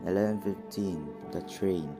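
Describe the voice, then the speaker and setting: Young guy acting a cold hired killer in a radio drama, really low slow voice, music behind. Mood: coldly businesslike. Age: young adult. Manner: terse, matter-of-fact, measured. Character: cold-blooded hired killer, businesslike and assured.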